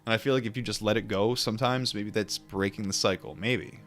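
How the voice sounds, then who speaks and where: Guy with a lowish voice reading a book and chatting casually, kinda reflective, faint music under him. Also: steady tone, a bit bright. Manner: musing, informal, with pauses and repetitions. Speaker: streamer reading a book aloud and chatting with viewers. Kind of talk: book read-aloud mixed with casual reflective chat.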